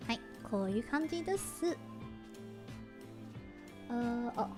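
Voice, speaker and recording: A woman's voice: fairly high pitch, expressive, moderate pace, music in the background. A woman streamer chatting casually and giggling, switching between English and Japanese. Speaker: VTuber streamer. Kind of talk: casual live-stream chat.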